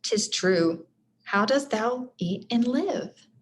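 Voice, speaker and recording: A woman's voice: fairly high pitch, lively intonation, slow, a little hiss or hum. A woman voicing a curious, matter-of-fact small pilgrim girl in a storybook, with old-fashioned phrasing. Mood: curious, surprised.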